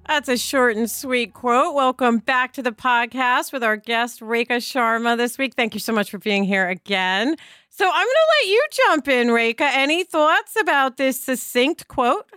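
A woman's voice: fairly high pitch, moderate pace, sharp tone, clean tone, dry recording, studio quality, soft background music. A woman co-hosting a podcast in a conversational style. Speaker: podcast co-host.

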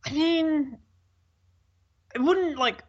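A woman's voice: high-pitched, extremely slow, lo-fi sound. A woman in a casual TV discussion, talking in a hesitant, searching way with dry opinions. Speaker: podcast co-host.

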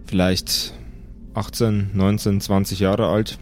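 A man narrating an improvised tabletop horror adventure as game master, pausing as he builds each description. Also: on the low side, little pitch movement. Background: background music.

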